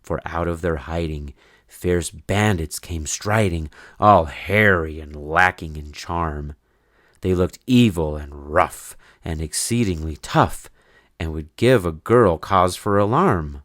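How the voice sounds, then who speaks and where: A man reading a children's story in verse aloud, with an expressive, measured, sing-song delivery. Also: low-pitched, no echo, studio quality. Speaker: storybook reader.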